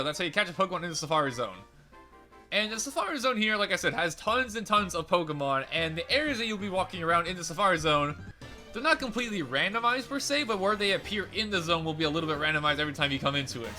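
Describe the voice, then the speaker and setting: A young man chatters through a game playthrough at a rapid clip, his voice pitched a bit high and edged with a slight rasp. He rambles and corrects himself as he goes. Faint game music plays underneath. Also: bright, forceful tone. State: slightly raspy, crackly voice. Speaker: let's play host. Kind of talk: casual game commentary.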